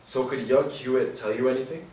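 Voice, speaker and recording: A man's voice: mid-range voice, fairly even intonation, brisk, a little mellow, natural voice. Man playing persistent detective, echoey room. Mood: earnest, insistent. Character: matter-of-fact, persistent police detective. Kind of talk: stage play reading. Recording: roomy distant stage recording, faint noise.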